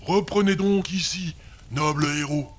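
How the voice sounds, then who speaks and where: A man's voice: on the high side, a little slow, a little hiss or hum. A man reciting a fantasy verse prologue in a theatrical, ceremonious, declamatory way. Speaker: prologue narrator.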